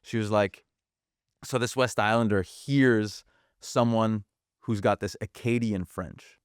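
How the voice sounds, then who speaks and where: A man's voice: fairly low pitch, steady tone, takes it slowly, smooth, dry recording, studio quality. A man from Quebec co-hosting a podcast, chatting casually and animatedly, switching between English and French. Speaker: podcast co-host. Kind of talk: casual bilingual chat.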